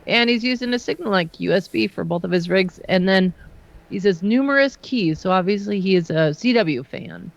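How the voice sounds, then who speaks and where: A hoarse woman co-host who is fighting a cold, reading listener details in a casual chat. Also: mid-range voice, expressive, moderate pace, dull audio. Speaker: co-host presenting listener photos.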